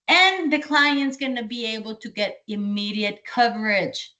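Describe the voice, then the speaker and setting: High-voiced Colombian woman, sales trainer, lively, repeats key points. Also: measured pace. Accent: Colombian Spanish. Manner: energetic, repeats key points, asks and answers own questions. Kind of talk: training presentation.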